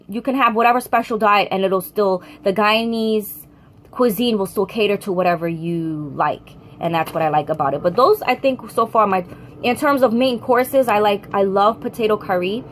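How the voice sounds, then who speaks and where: A woman's voice: mid-range voice, normal speed. A young woman from the United States with an American accent, chatting casually to camera in a rambling way and often correcting herself. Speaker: vlogger.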